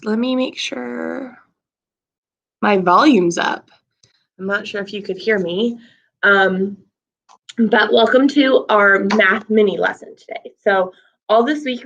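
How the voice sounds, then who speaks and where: Grade-school teacher, woman, slow and encouraging, slightly scratchy voice, dull lo-fi recording. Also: mid-range voice, gravelly. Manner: slow, patient, encouraging teacher talk with counting aloud. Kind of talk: recorded math lesson for young kids. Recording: lo-fi, voice a bit far from the mic.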